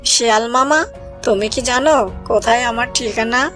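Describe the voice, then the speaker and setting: A man's voice: very high-pitched, normal speed, sharp tone, pitched above the performer's own voice. A man voicing a small, timid but clever orphan duckling that calls out in a pleading way, in a cartoon voice-over. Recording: cartoon voice-over with background music.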